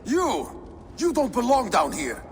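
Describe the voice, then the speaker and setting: Smug pompous game villain, theatrical swoops, big echo and noisy ambience behind. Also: male voice, extremely high pitch, wildly swooping pitch, full character voice. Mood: startled anger. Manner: theatrical, drawn-out, pompous phrasing. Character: smug, grandiose male crime boss villain. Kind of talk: video game voice acting. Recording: long echoing reverb, noisy game ambience.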